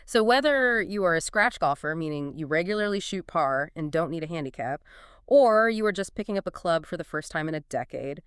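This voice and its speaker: Female podcast co-host, smooth and articulate, close dry sound. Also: low-pitched, expressive, a little fast, no echo. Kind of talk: scripted-sounding conversational podcast explainer.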